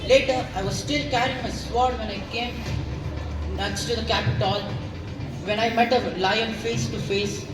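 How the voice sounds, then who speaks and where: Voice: medium pitch, steady tone, a little slow, natural voice. A teenage student with an Indian accent acting a frightened, awestruck Roman in a school stage play. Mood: awed, fearful. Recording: distant echoey stage, loud music.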